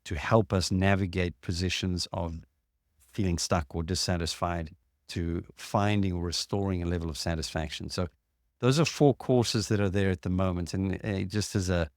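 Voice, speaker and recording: A man with a notably deep voice hosts a casual talk, explaining ideas step by step. He pauses often on fillers. The sound is dry, with no room echo. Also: fairly even intonation. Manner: measured, explanatory, with frequent fillers. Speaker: podcast host and clinician.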